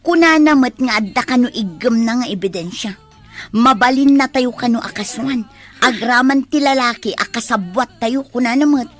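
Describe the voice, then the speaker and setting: A woman acts out an anxious schemer in a radio drama. Her voice is high, with faint music underneath. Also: moderate pace, lightly acted. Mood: worried. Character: anxious scheming woman, afraid of being caught. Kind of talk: Ilocano radio drama acting.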